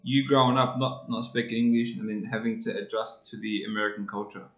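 A man's voice: lowish voice, level tone, fairly quick, highs cut off. Man hosting in a roomy room. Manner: short encouraging reactions and stumbling repeats. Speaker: podcast host. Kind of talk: casual interview hosting.

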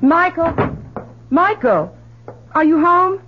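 A woman's voice: very high voice, big pitch swings, slow pace, exaggerated voice. An actress playing a protective middle-aged mother and wife in an old radio drama, solicitous and fussing. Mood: anxious. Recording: dull old radio recording, clear hiss.

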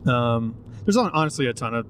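Laid-back male host, mid pitch, relaxed chatty delivery, light car hum. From North Shore of Massachusetts. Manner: easygoing, measured storyteller with many fillers. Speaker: comedian podcast host. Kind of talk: casual podcast banter. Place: inside a moving car. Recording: faint road noise in a car. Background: car ambience.

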